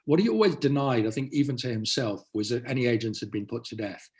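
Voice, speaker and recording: A man's voice: medium pitch, fast talker, darkish tone. A male author giving a talk about his book in an anecdotal, explanatory style. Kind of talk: presenting to an audience, anecdotal.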